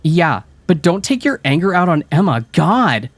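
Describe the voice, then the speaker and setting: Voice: mid-range voice, expressive, fast talker, no echo, pitched above the performer's own voice, close to an everyday voice. A man voicing a dismissive teenage girl who rolls her eyes and then soothes a friend. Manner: eye-rolling, soothing.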